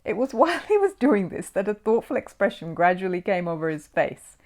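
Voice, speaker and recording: A woman narrates a children's storybook as an audiobook reader. Her medium-pitched voice moves with plenty of melody, and she tells the tale expressively, shaping each scripted sentence for young listeners. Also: sing-song, a little fast, a little mellow.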